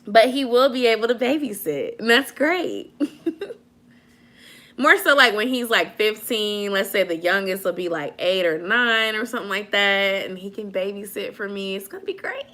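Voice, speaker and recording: A woman's voice: mid-range voice, moderate pace. A woman in her late twenties chatting casually with viewers from home, answering comments and laughing a lot. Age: 20s. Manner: animated, laughing often, slangy. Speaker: vlogger chatting with live viewers. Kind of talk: casual live chat, reading comments.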